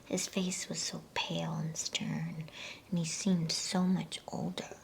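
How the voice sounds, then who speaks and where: Whispering woman reading slowly, with creaky edges. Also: low voice, little pitch movement. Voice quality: breathy, unvoiced whisper. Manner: hushed, unhurried, soothing delivery. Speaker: storybook reader. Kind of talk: whispered ASMR bedtime story reading.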